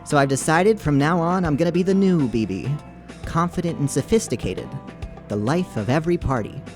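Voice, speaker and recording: A man's voice: on the high side, expressive, a little fast, voice pushed up, natural voice. A man voicing an awkward partygoer who strives to sound confident and sophisticated, with an affected manner, in a game readalong. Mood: showily confident. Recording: music behind the voice.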